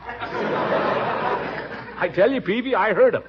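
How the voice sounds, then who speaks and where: An actor playing a pompous, blustering middle-aged uncle in a 1940s radio comedy, exasperated and loud. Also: male voice, slow pace, a loud crowd. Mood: grumbling. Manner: blustery, exasperated, swooping pitch. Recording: dull old radio broadcast with hiss.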